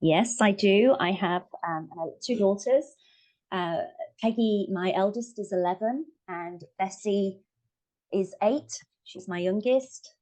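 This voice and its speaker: A woman's voice: mid-range voice, takes it slowly, a little mellow, rough recording. A woman, chatting as an interview guest with many yeahs and self-corrections. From Manchester, England. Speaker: guest, family wellbeing coach. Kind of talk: casual interview chat.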